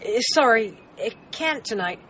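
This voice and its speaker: A man's voice: very high-pitched, animated, unhurried, a bit bright, highs cut off, slight background noise, heavily acted. An actor playing an anxious, loyal boy who narrates his adventure in a radio drama. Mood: earnest, uneasy. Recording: band-limited radio broadcast with music underneath. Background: dramatic music.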